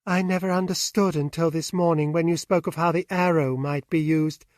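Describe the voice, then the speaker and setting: A man's voice: a little high, steady tone, moderate pace, no echo, studio quality, close to an everyday voice. A man voicing a frightened, distraught young woman in an audiobook, anguished and pleading.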